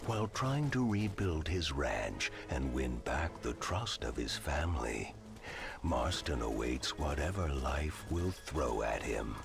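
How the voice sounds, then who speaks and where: A grave trailer narrator with a very deep, gravelly voice and a lively, swooping delivery. He speaks slowly and with weight. Loud music plays behind him. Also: heavily acted. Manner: slow and weighty. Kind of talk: dramatic scripted narration.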